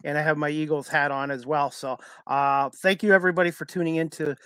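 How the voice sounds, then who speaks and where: A man hosting a casual podcast, talking in a halting way with many fillers and restarts. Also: on the high side, steady tone, a bit bright, clear, resonant tone, no echo, clean studio sound. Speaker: podcast host.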